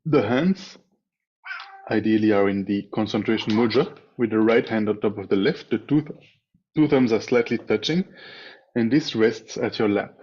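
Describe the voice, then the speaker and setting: A man leading a guided meditation as a teacher, speaking in a calm, measured way with long pauses between phrases. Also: on the low side. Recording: dull, muffled online meeting audio.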